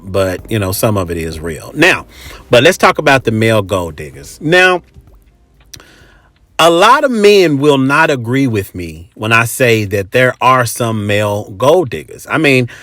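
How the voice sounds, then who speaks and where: A man's voice: medium pitch, sing-song, measured pace, pushed and bright, no echo. An American man hosting a podcast in a casual, chatty monologue, talking colloquially and emphatically and repeating phrases for effect. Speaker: podcast host.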